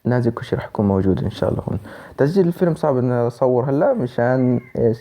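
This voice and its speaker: A man's voice: mid-range voice, very animated, very fast, mellow. A man with a Levantine Arabic accent presenting a casual app tutorial, explaining informally step by step. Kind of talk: casual spoken app tutorial for screen reader users.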